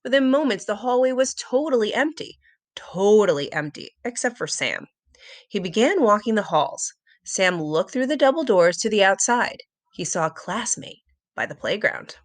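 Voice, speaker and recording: Lady reading a kids book out loud, really dramatic and lively melody. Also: medium pitch, moderate pace. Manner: expressive, dramatic storytelling. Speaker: storybook reader. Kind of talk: animated children's read-aloud narration.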